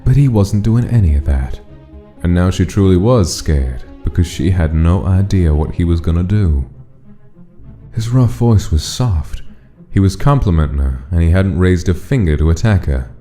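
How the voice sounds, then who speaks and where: Male narrator for dramatic scripted fiction: very deep, extremely warm dark tone. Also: lively intonation, normal speed. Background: music.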